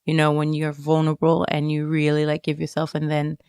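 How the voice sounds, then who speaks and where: A woman giving a reflective personal interview, speaking haltingly and often dropping in "like" and "you know.". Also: low-pitched, monotone, a little mellow, smooth, dry recording, clean studio sound. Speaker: interviewed writer.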